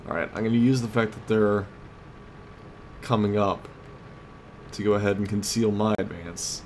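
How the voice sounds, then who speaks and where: A man's voice: fairly low pitch, fairly even intonation, moderate pace, darkish tone. A man giving casual let's play commentary on a video game, thinking aloud in short tactical remarks. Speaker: gamer, host of a let's play series. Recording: clear background game noise. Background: vehicle and battle sounds of a tank game.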